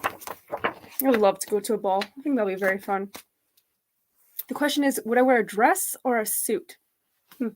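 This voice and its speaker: A woman's voice: on the high side, normal speed, long pauses, a little hiss or hum, low-quality recording. A woman reading a picture book as a guest, friendly and chatty, with casual asides to the audience. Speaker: drag performer, guest storybook reader.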